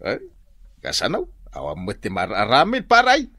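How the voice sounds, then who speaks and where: A man playing a stubborn, hopeful farmer in an Ilocano radio comedy-drama, exclamatory with drawn-out endings, in an Ilocano accent. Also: on the low side, huge pitch swings, sharp tone, no room sound, studio quality, exaggerated voice. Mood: cheerful, casual.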